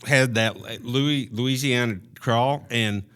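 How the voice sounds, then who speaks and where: A man's voice: mid-range voice, slow, fairly bright tone, smooth. A man co-hosting a podcast, speaking in loose casual chat. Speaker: podcast co-host.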